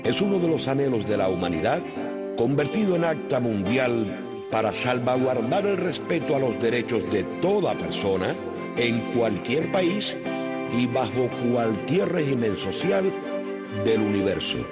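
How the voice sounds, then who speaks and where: A man's voice: medium pitch, sing-song, measured pace, over loud music. A man reading a solemn scripted radio announcement in a declaiming way.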